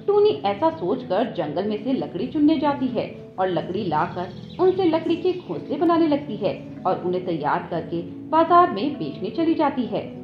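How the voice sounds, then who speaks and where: A woman's voice: mid-range voice, sing-song, normal speed. A woman giving scripted narration for a children's moral story. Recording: echoey, dull audio with background music.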